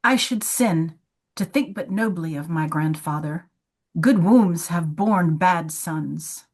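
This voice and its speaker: A woman's voice: lowish voice, takes it slowly, husky, full character voice. A woman playing a dutiful, tender-hearted young daughter in an amateur Shakespeare reading, reciting verse earnestly. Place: online video call.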